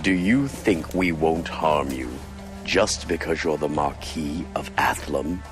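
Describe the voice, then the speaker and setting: An actor playing a suave, menacing villain in a video game, speaking with mock courtesy and condescension. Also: male voice, extremely low pitch, slow, music in the background. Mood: mocking, smug. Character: suave, menacing male villain, a scheming researcher-sorcerer. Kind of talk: dramatic video game acting.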